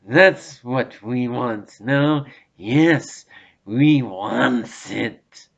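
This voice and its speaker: A man's voice: mid-range voice, very slow, gravelly, exaggerated voice. A man voicing a wretched, sly cave creature who hisses and wheedles, in a dull lo-fi audiobook recording. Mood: longing. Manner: hissing, wheedling, talks to himself. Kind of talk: audiobook reading.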